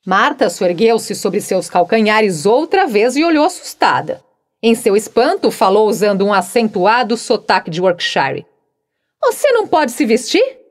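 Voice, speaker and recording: A woman narrating an audiobook in a measured, expressive storytelling manner. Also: mid-range voice.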